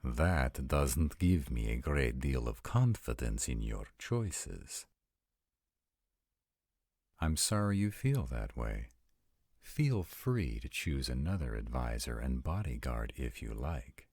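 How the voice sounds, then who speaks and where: Guy doing super deep husky vampire noble, slow and formal, bossy, audio drama roleplay, really dry close sound. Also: very animated, darkish tone, no room sound, full character voice. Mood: measured, guarded. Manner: formal, deliberate phrasing. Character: commanding, arrogant male vampire nobles in tense parley.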